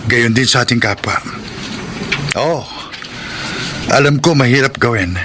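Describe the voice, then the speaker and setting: An actor playing an upright, dignified construction worker in a Filipino radio drama, speaking earnestly. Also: male voice, mid-range voice, measured pace, close to an everyday voice. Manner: earnest, principled. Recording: noisy radio drama recording.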